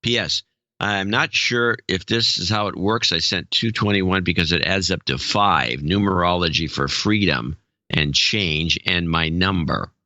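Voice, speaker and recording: Low bright-toned man, wry co-host. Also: unhurried, no echo, muffled recording. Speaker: podcast co-host. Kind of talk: casual chat, reading donor notes.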